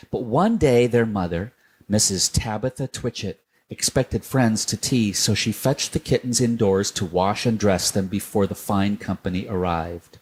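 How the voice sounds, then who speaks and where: Husky low-voiced man reading aloud. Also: darkish tone. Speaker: storyteller reading a children's book. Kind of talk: children's story reading.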